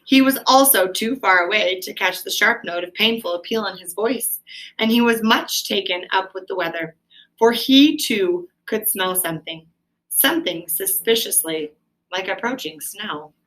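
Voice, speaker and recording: A woman's voice: medium pitch, moderate pace, fairly bright tone, poor audio. A woman teacher reading a story aloud and explaining it to pupils, with an emphatic, questioning delivery.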